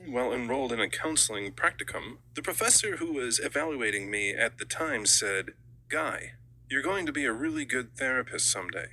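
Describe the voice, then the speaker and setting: A man's voice: mid-range voice, sharp tone, rough recording. A man reading scripted audiobook narration.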